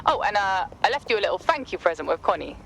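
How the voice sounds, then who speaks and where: An actress playing a casual, breezy underworld contact in a video game, offhand in delivery. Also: female voice, medium pitch, very animated, fast talker, vocal fry, a bit bright, a little hiss or hum. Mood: breezy, businesslike. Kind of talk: video game dramatic acting.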